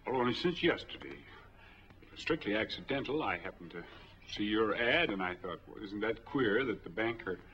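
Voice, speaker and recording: Low-voiced American drifter played by a man, old film. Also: expressive, moderate pace, natural voice. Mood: earnest, measured. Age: 40s. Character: smooth, easygoing drifter applying for bank money. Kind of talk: 1940s film acting. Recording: dull old 1940s film soundtrack.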